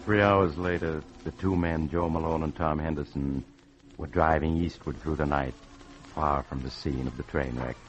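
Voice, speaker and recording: An extremely low, grave narrator voice from a vintage radio thriller. He tells the crime story in scripted fashion over faint music. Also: steady tone, moderate pace. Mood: grave storytelling. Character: grave male narrator of a crime ghost tale.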